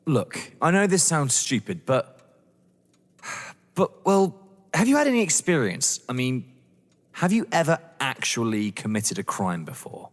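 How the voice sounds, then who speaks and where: A man's voice: medium pitch, very animated, measured pace. An actor playing a sceptical, blunt young man, a wary lover, in an audio drama. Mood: hesitant. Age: young adult. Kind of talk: theatrical audio drama acting. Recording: echoey hall-like reverb.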